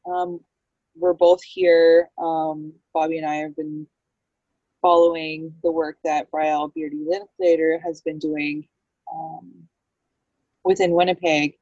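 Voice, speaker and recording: A woman's voice: deep voice, little pitch movement, takes it slowly, dark tone, no echo, highs cut off. A Canadian woman speaking casually and unscripted as a guest co-host on a podcast. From Manitoba, Canada. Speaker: guest co-host, community coordinator.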